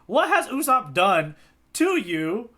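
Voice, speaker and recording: A man co-hosting a podcast in casual chat, playful and punning, quick to laugh and agree. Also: very high voice, big pitch swings, sharp tone. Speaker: podcast co-host. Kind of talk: casual chat about a manga chapter.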